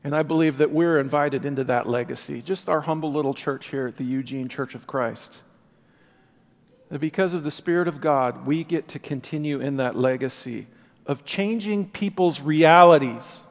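A man with a somewhat high voice preaches a sermon in an earnest manner. His phrases build in force and then drop back to quieter stretches. The sound is dull and muffled, with a long reverb trailing through the church. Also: normal speed. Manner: earnest, rising and falling emphasis.

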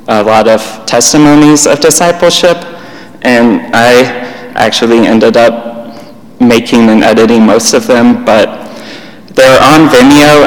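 A young man speaking in a self-described monotone, giving a plain, unhurried church teaching talk with pauses and restarts. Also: mid-range voice. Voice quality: monotone delivery. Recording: long hall reverb.

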